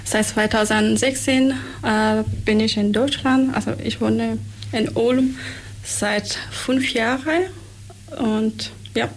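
Brief young woman, higher voice. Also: steady tone, slow pace, slight background noise, low-quality recording. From Afghanistan. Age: young adult. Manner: brief, hesitant. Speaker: interview guest.

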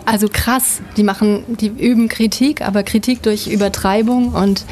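A woman who writes programme texts for a venue, speaking as a guest in a casual radio interview in a relaxed way, often laughing. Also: medium pitch, moderate pace.

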